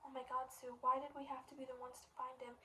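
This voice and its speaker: A panicked teenage boy, read by a woman, pleads and insists in a storybook reading. The voice stays high and fairly even, and the mic sounds a little distant. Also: fast talker, a little hiss or hum. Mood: urgent. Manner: urgent, insistent.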